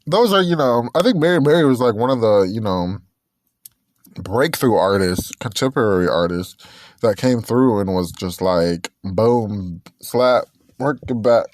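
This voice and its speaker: Podcast host, man, 20s: rambling, self-correcting chatter with a lively, swinging pitch, recorded dry. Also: unhurried, no room sound. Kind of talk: casual solo podcast chat.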